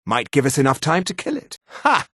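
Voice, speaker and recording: A man voicing a haughty male high elf officer in a fantasy video game, speaking with disdainful, formal authority. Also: huge pitch swings, brisk, fairly bright tone, no echo, clean studio sound, full character voice. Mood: sardonic.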